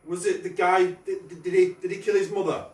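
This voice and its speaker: A man's voice: high voice, brisk, far from the mic. Man talking in an echoey pub. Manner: hushed then excited, repeating words and speculating aloud. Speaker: paranormal investigator. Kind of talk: casual on-location commentary. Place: dark abandoned pub, indoors. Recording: echoey room, heard from afar.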